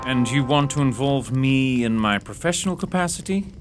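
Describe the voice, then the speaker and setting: A man's voice: mid-range voice, expressive, moderate pace, over loud music, close to an everyday voice. A man playing an arrogant, touchy young detective in audio theatre, speaking formally and with dry precision. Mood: polite, dry. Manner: formal, precise, dryly sardonic.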